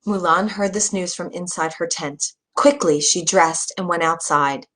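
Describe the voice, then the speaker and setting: A woman's voice: fairly low pitch, unhurried, a bit bright. Woman narrating a children's story.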